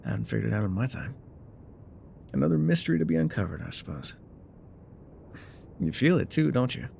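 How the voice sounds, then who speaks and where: A man's voice: medium pitch, very animated, a little fast, vocal fry, a little mellow, a little hiss or hum. A man playing an enigmatic, wry guide through dangerous wilds in an intimate ASMR roleplay, musing, teasing and often trailing off. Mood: musing, mysterious.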